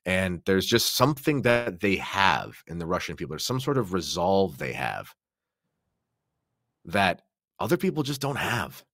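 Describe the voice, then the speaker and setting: A man's voice: sits low, very animated, measured pace, fairly bright tone, no room sound, clean studio sound. A man from Canada speaking as a podcast guest in a casual religious discussion, explaining things in an earnest, conversational way.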